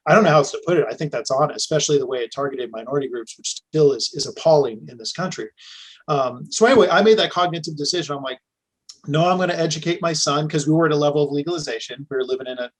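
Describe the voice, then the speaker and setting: Middle-aged male podcast guest, a touch higher pitch, relaxed storyteller. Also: fast talker, breathy edge, low-quality recording. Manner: chatty storytelling, with restarts. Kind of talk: casual conversation.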